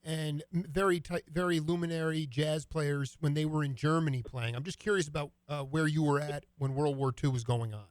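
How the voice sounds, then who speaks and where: A man with a slightly high voice hosts an interview, asking long, loose questions and dropping casual jazz slang. He talks in a relaxed, rambling way. The sound is dry and close, with no room echo. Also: a little fast, hardly any pauses. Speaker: radio show host.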